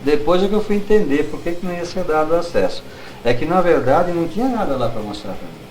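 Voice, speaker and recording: A middle-aged man with a Brazilian accent, reminiscing as an interview guest in an unhurried, halting way, searching for his words. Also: on the high side, very animated, darkish tone, poor audio. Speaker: guest writer.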